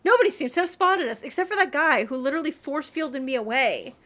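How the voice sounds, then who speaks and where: A woman's voice: high-pitched, animated, quick pace, fairly bright tone, slight background noise. A woman livestreamer giving casual gameplay commentary, muttering questions and reactions to herself as she plays. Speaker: video game streamer.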